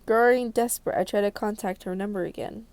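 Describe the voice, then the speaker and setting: A woman's voice: mid-range voice, steady tone, fairly quick, a little mellow, clear, resonant tone. A woman reading a visual novel aloud as a game video creator, narrating dramatically and expressively with casual asides.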